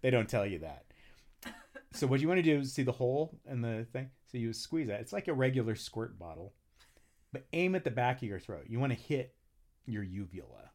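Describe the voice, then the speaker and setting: Male guest, singer, loose profane storyteller, big swings in pitch. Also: a little fast. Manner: animated, profane, laughs often. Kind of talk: casual chat, storytelling.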